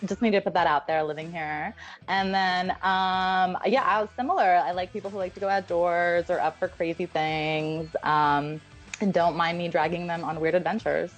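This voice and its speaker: Easygoing woman with a lowish voice. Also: steady tone, moderate pace, faint music behind. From Russia. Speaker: contestant on a dating show. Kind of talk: casual chat. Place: home in a city. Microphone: video call.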